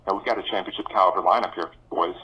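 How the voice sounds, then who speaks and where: A man's voice: deep voice, steady tone, rapid-fire, husky. A man from Connecticut leaving a theatrical, declamatory fan rant on a muffled lo-fi phone voicemail. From Connecticut, United States. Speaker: voicemail caller. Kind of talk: dramatic prepared fan rant.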